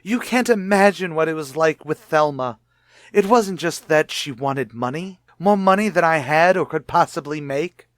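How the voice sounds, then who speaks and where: An actor playing a cornered husband with a false identity in a radio drama, earnest and self-justifying. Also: male voice, sits high, no room sound, clean studio sound. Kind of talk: old-time radio drama acting.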